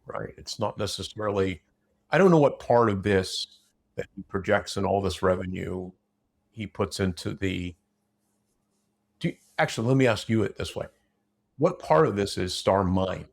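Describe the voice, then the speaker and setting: A man's voice: sits low, lively intonation, moderate pace, vocal fry, long pauses. A man in a casual unscripted discussion, opinionated and blunt, rambling through broken-off sentences.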